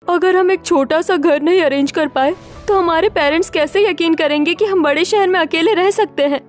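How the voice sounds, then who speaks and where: A woman playing a spirited, scheming young single woman in a story drama, with an expressive manner. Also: very high voice, normal speed, faint music behind. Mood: worried. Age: young adult. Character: spirited, scheming young modern single women seeking housing. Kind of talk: acted Hindi story dialogue.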